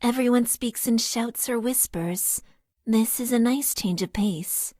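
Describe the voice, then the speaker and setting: A deadpan stoic mecha pilot girl. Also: on the high side, unhurried, no echo, clean studio sound. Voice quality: flat, deadpan delivery. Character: stoic young female mecha pilot, emotionally suppressed. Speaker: game character. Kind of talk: dating sim voice lines.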